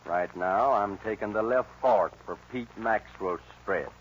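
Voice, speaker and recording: An actor playing a cocky young American outlaw gunfighter, laconic and folksy, in a 1940s radio western. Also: male voice, lowish voice, measured pace, natural voice. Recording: dull, hissy old radio recording.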